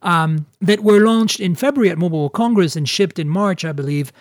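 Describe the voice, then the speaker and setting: A man's voice: high-pitched, a little fast, no echo, studio quality. A man hosting a casual tech podcast, chatting in an opinionated, emphatic way. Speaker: podcast host.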